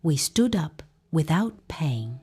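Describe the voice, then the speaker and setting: A woman's voice: low-pitched, big pitch swings, takes it slowly, mellow, breathy edge, full character voice. A woman voicing a tender, awestruck village mother in a folktale, with an emotional, wondering delivery. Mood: awed relief. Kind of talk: folktale storytelling.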